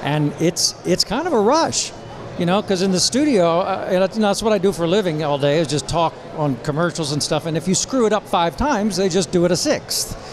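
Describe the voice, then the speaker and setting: A man's voice: high voice, lively intonation, brisk. A middle-aged veteran voice actor, a man, chatting genially and conversationally in an interview. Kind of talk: casual interview chat. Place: live convention event, microphone.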